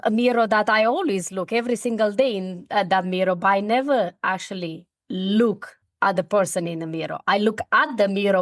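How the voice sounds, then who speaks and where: A middle-aged woman from Romania with a Romanian accent, a guest coach telling her personal story with earnest, halting feeling. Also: medium pitch, dry recording, studio quality. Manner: earnest, heartfelt, halting with restarts. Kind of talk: personal story in a podcast interview.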